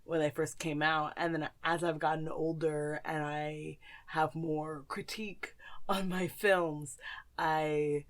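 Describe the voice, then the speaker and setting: A woman's voice: low voice, level tone, slow. A young woman co-hosting a podcast in casual conversation, speaking emphatically and opinionatedly with casual swearing. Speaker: podcast co-host.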